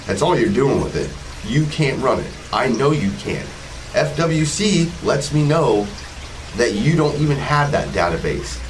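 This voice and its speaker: A man's voice: medium pitch, sing-song, unhurried, low-quality recording. American guy in his 40s, mid voice, sarcastic sweary vlog narration, bit of noise behind. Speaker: video blogger, police auditor. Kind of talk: casual narration over footage.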